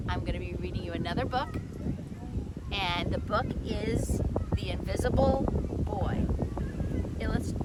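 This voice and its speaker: A woman reading to children in a storytelling style, with clearly phrased, expressive delivery. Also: medium pitch, very noisy. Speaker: children's book reader. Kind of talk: read-aloud storytelling for children. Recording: roomy, echoing sound.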